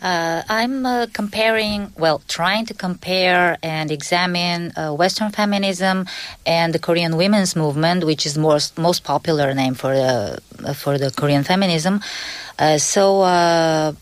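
A woman's voice: fairly low pitch, unhurried. A young woman from Bulgaria, a native Bulgarian speaker, answering hesitantly as a graduate student guest on a radio show. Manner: hesitant, many fillers.

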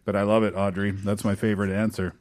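A man's voice: on the low side, flat delivery, fast talker, a little mellow. A man hosting a radio show, joking around and reading out listener messages in casual studio chat. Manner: jokey, reads listener messages.

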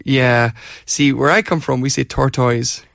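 Scottish man, radio co-host, mid pitch, dry close mic, self-deprecating. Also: unhurried, vocal fry, fairly bright tone, no room sound. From Scotland. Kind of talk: casual chat.

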